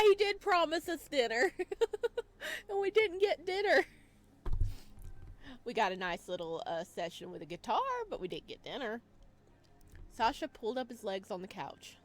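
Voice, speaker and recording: A woman hosting a visual novel let's play, playful and expressive as she chats and reads aloud. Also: mid-range voice. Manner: playful, expressive reactions, addresses viewers. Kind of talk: visual novel playthrough, chatty commentary and read-aloud narration.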